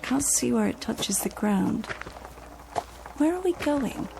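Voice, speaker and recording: A woman's voice: a little high, animated, slow, vocal fry, background noise, exaggerated voice. An actress playing a fussy, fretful woman who looks after an invalid in a vintage television drama. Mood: wary, curious. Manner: scolding, fretful. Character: fussy, exasperated female companion to an invalid. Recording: vintage TV soundtrack, faint music behind.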